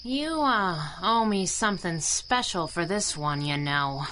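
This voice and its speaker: Bright, sassy heroine sidekick. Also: female voice, on the low side, lively intonation, a little slow, a little hiss or hum, rough recording. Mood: wry, flirtatious. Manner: wisecracking, saucy. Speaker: voice actor in a radio drama. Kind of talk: 1930s-style adventure radio acting.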